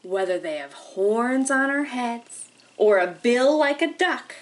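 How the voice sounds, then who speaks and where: Female children's storytime host: high, lively sing-song delivery, unhurried, full of wide-eyed questions. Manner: animated, sing-song, exaggerated wonder, asking questions. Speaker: children's librarian hosting storytime. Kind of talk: interactive storytime for young children.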